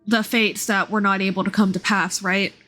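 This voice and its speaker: A woman's voice: mid-range voice, flat delivery, normal speed, sharp tone. A woman live-streaming a game with casual commentary, reacting to the dialogue with playful, sarcastic asides. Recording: game music behind the voice. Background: game music.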